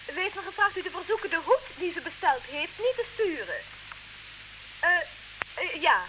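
A genteel, flustered lady in an old radio comedy. Her voice is strikingly high and lively, with hesitant, polite phrasing that sounds evasive. The recording is clearly noisy. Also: normal speed. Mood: polite, fussy. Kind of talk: comic radio play acting.

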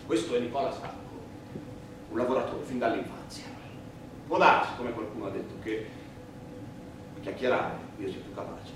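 An actor playing a defiant, wounded condemned prisoner addressing the court in emphatic theatrical oratory full of repetition. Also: male voice, mid-range voice, moderate pace, long pauses, close to an everyday voice. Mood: tender, pained. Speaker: stage actor. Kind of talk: theatrical monologue and dialogue in a stage play for radio. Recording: roomy, noisy, echoing distant stage recording.

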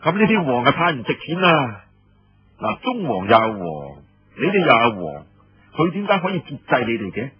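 A man voicing an imperious, sly senior army commander in a Cantonese audiobook story, questioning his listeners in a commanding, drawn-out way. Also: medium pitch, wildly swooping pitch. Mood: amused scorn. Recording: muffled lo-fi roomy radio-like recording.

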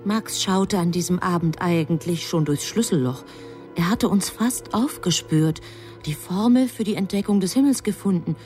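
Actress as a self-assured, low-voiced scheming angel. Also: female voice, normal speed, warm tone, soft background music, close to an everyday voice. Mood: cool, self-satisfied. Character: self-assured scheming angel reporting her manipulations of humans. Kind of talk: German radio drama acting.

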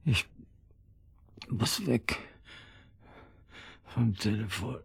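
An actor playing a poisoned husband in a German radio drama, desperate, halting and in pain, speaking standard German. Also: male voice, lowish voice, animated, a little hiss or hum, heavily acted. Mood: weak, desperate. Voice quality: husky, gravelly, breaking into whispers. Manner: halting, groaning, gasping delivery. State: in pain, failing strength.

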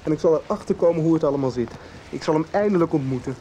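An actor playing a haunted, driven teenage boy in a radio soap, speaking haltingly and intensely, with rain behind him. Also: a little high, warm tone, slight background noise, lightly acted, poor audio. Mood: resolute. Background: rain, music.

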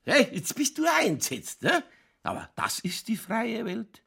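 A drunken, middle-aged police chief from a radio crime play, with a thick Lower Bavarian accent. His voice is fairly high and gravelly, with lively swings. He rants and rambles, folksy, crude and world-weary. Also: a little slow, heavily acted. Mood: mocking, animated. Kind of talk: naturalistic radio crime drama dialogue.

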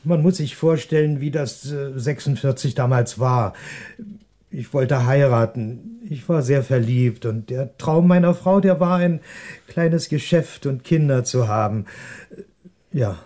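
A man's voice: mid-range voice, unhurried, dark tone, lightly acted. A male actor playing a cornered, apologetic ex-policeman and shopkeeper, speaking hesitantly and defensively in a 1960s German radio crime drama. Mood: halting confession.

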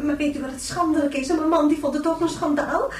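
A woman's voice: sits high, fairly even intonation, fast, close to an everyday voice. An actress playing a prim, teetotal mother in a radio drama, gushing and repeating herself with scandalised indignation. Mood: gushing, indignant. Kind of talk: radio drama, television interview scene. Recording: distant roomy broadcast with crowd.

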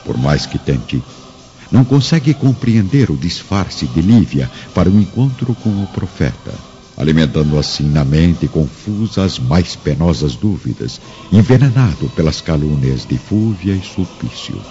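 A male narrator tells a radio drama solemnly and theatrically. His very deep, mellow voice moves through wide, expressive intonation. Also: moderate pace. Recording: muffled audio with faint music.

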